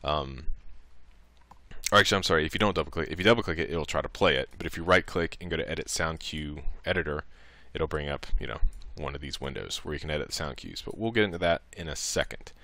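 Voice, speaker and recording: A man giving a casual, improvised software tutorial, talking through each click as he goes. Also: rumbling low, expressive, quick pace, no room sound. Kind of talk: casual step-by-step screen tutorial.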